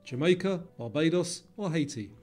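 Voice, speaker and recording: A man delivering scripted quiz narration in a measured, formal reading manner. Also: fairly high pitch, expressive, a little mellow. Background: music.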